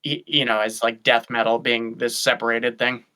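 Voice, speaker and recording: Bright, clear-voiced man chatting hesitantly. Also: medium pitch, little pitch movement, normal speed. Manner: hesitant, thinks aloud with many restarts. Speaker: guest vocalist. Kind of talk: casual chat over a stream.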